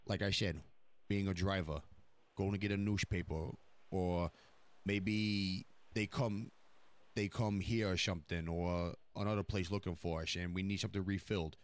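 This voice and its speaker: A man with a very deep voice talks off the cuff in a roleplay game. He is blunt and swears often, keeping it conversational. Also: fairly even intonation, moderate pace, dry recording. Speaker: streamer playing a mob boss in online roleplay. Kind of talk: casual in-character roleplay chat.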